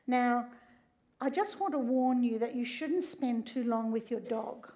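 Older woman, slightly croaky, high voice, lively stage lecture with frequent audience check-ins. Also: measured pace. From Australia. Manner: conversational, often tags lines with "right?". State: a bit croaky. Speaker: speaker giving a public talk. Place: large theatre stage.

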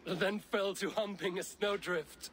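An actor playing a loyal, teasing Viking warrior and old friend in a video game cutscene. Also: male voice, high voice, fairly even intonation, unhurried, a bit bright, slight background noise. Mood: amused reminiscing.

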